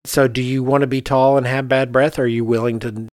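A man's voice: medium pitch, little pitch movement, fast talker, a little mellow, no echo. Middle aged guy hosting a podcast, casual and candid, really emphatic, dry close mic. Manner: candid, emphatic, repeats phrases for effect. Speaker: podcast host, architect.

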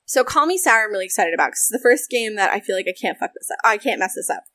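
A young American woman in a casual interview, talking animatedly about voice acting and game development, with frequent laughs and quick asides. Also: mid-range voice, expressive, pushed and bright, dry recording.

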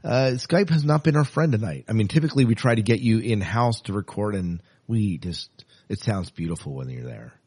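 A man's voice: on the low side, animated, brisk, dark tone, breathy edge. A man hosting a podcast in a casual, chatty style. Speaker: podcast host.

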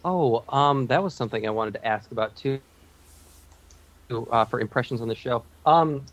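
A man's voice: medium pitch, normal speed. A man chatting casually as a podcast panelist, with wry, self-mocking humour. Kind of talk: casual TV recap chat.

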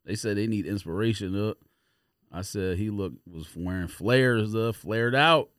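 A man's voice: fairly low pitch, a little slow, fairly bright tone, no echo, clean studio sound. A man hosting a podcast with casual, slangy banter, blunt and teasing in the way he talks. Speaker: podcast host. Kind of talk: casual banter reviewing sneaker releases.

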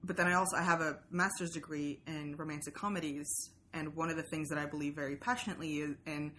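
A woman's voice: low-pitched, level tone, fast, fairly bright tone. A woman from Sweden, a native Swedish speaker, talking casually as a podcast guest in an articulate, opinionated and wry way. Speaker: podcast host being interviewed. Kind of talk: casual conversational discussion.

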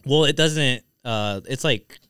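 Podcast guy just chatting, crisp smooth voice, recorded nice and dry. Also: mid-range voice, slow, fairly bright tone, no echo. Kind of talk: casual chat.

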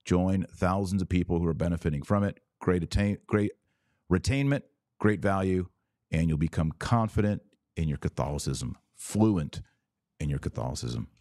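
A man hosting a podcast of religious commentary, speaking in an emphatic, didactic way with deliberate phrasing. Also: low-pitched, expressive, darkish tone, no echo, clean studio sound. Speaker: podcast host.

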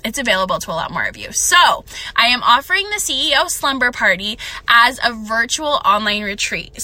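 A young woman hosting a casual solo podcast, chatty and excitable, a bit stuffed up from a cold, recorded on a phone. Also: a little high, dry recording. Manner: chatty, excitable, rambling with fillers. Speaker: podcast host.

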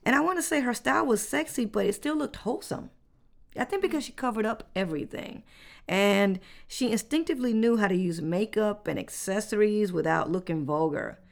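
A woman hosting a relaxed podcast chat at a medium pitch. She reads out facts in an easygoing, conversational way, and her voice has a gravelly, creaky texture. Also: brisk. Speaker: podcast host. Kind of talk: casual chat.

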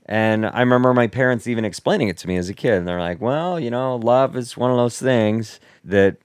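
A man's voice: medium pitch, fairly quick, clear, resonant tone, no room sound, studio quality. A man from the Seattle area, co-hosting a casual podcast chat, rambling and restarting his sentences and laughing often. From Seattle area, United States. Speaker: podcast co-host.

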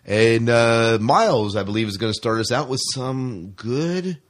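A man's voice: medium pitch, expressive, a little slow. A man hosting a podcast in casual, joking chat with his co-host, often rephrasing as he goes.

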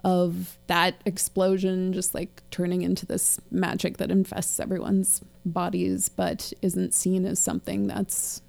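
A woman in her late twenties chats about books in a casual, digressive way. Her voice sits a bit low and sounds dark and mellow, with a slight crackle. She drops in dry, self-mocking asides as she goes. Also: flat delivery, unhurried, creaky, hardly any pauses. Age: late 20s. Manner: chatty, digressive, wry. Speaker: podcast co-host. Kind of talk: casual book discussion.

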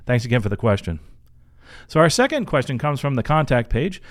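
A man's voice: medium pitch, big pitch swings, brisk. Chatty man hosting an advice podcast. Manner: informal, chatty, conversational. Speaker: podcast host and career coach. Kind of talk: casual advice podcast, answering and reading listener questions.

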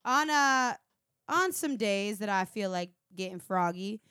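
A woman's voice: mid-range voice, unhurried, smooth, no room sound, clean studio sound. A young adult woman, a teacher, chatting casually on a group podcast and acting out her own quotes as she tells stories. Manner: animated storyteller, acts out her own quotes.

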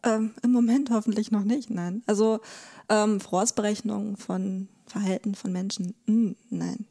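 Casting: female academic expert, soft-edged warm tone with noticeable creak, explaining conversationally with careful, halting phrasing. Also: medium pitch, little pitch movement. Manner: hesitant, careful, pauses to search for words. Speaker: legal academic guest.

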